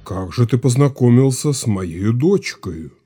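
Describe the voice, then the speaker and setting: Dark-voiced stern old Cossack. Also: male voice, mid-range voice, lively intonation, unhurried, slightly rough. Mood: grave, probing. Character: stern older Cossack man, grave, suspicious, commanding. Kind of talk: theatrical audio drama acting.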